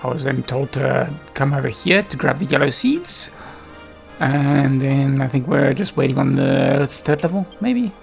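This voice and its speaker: A man's voice: mid-range voice, measured pace, gravelly, soft background music. A man giving casual, unscripted gameplay commentary in a hesitant, rambling way, often trailing off. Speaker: gaming video host.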